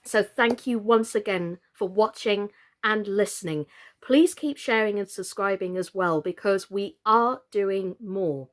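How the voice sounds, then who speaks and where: Expressive woman reading for children. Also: mid-range voice, unhurried. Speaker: children's story reader and online storytime presenter. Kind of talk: storybook narration and friendly presenting to children.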